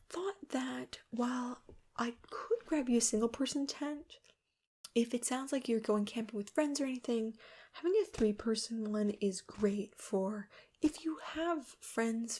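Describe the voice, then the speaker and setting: Soft, breathy woman in whispery ASMR. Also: on the high side. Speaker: host role-playing a camping store clerk. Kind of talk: ASMR role-play, soft-spoken sales help.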